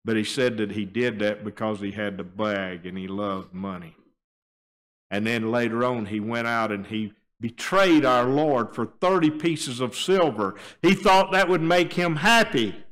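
An older man preaching a sermon at a church pulpit, moving between quiet, low stretches and raised, emphatic appeals. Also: measured pace. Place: church sanctuary, standing at a wooden pulpit. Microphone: pulpit microphone, filmed by a fixed camera.

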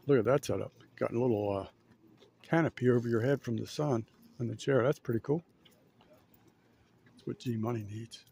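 A man casually narrating a walk-around outdoors as a fishing vlogger, in a laid-back, halting, rambling manner. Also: mid-range voice, gravelly, dark tone, slightly rough. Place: outdoors on an ocean fishing pier. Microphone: handheld camera, held by speaker.

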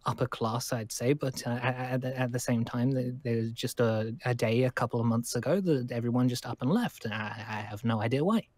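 A man's voice: mid-range voice, fairly quick, hardly any pauses, a little mellow, dry recording, close to an everyday voice. A man playing a nervous, friendly boy in an improvised game roleplay, stammering and repeating words in bursts. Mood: nervous, hopeful. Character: nervous, eager, friendly lone boy living in abandoned school.

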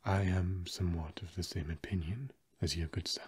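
A man playing a genteel, gossipy vampire housemate in an ASMR roleplay, with old-fashioned polite phrasing and a hesitant, breathy delivery. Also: sits low, steady tone, moderate pace, darkish tone. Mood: amused, gossipy. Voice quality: breathy, husky.

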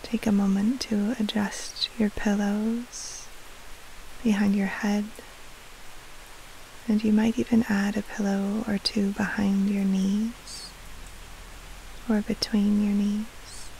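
A woman leading a guided sleep meditation as a yoga nidra teacher, in a hushed, breathy, soothing delivery with long pauses between short phrases. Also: mid-range voice, little pitch movement, low-quality recording. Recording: faint hiss and rustle. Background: soft rain-like noise.

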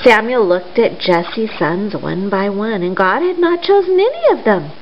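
Animated woman telling children's stories, roomy sound. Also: medium pitch. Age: middle-aged. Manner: addresses small children with simple questions and pauses. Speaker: children's storyteller. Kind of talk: storytime talk and read-aloud for young children. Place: living room at home, indoors. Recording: roomy, dull sound with faint noise.

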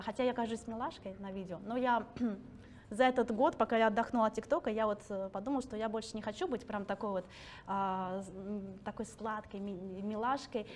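A woman's voice: on the high side, slight background noise. A woman in her thirties from Russia, talking casually and self-ironically to an audience in a library hall, laughing often. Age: 30s. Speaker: guest speaker.

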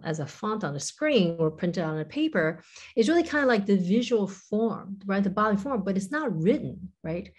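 A woman's voice: fairly low pitch, a little mellow. A woman in her forties, a professor and author, explaining ideas thoughtfully as a guest over a video call, often hedging with "kind of" and "you know". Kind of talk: interview conversation. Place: home room with windows.

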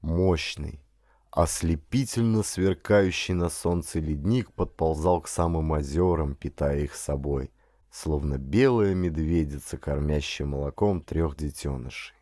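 A man reading an adventure novel as a dramatic audiobook narrator, in an expressive, deliberate storytelling manner. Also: extremely low pitch, no echo, clean studio sound.

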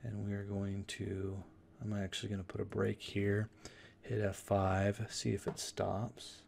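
A man giving an informal screen tutorial, thinking aloud as he explains each step in a casual, halting way. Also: on the low side, monotone, creaky, a little mellow. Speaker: software tutorial instructor. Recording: noisy room with keyboard clicks. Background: typing and mouse clicks.

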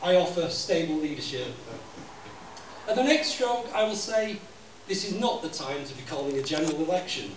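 A man gives a measured, declamatory live reading of a poem to a room. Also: on the high side, expressive. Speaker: poet. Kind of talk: live poetry reading, repetitive deadpan recitation. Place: small library room with audience. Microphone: microphone on stand, captured by distant camera. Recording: echoey room from far off, noisy.

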